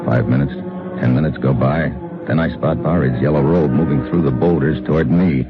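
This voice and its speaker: An actor playing a tough, wry American adventurer in an old radio drama, with clipped, deadpan delivery. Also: male voice, a bass voice, brisk. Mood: tense, clipped. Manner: clipped, hard-boiled, deadpan. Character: tough, wry American male adventurer hero narrating his mission. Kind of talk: 1950s radio adventure acting and narration. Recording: dull old radio recording, music behind.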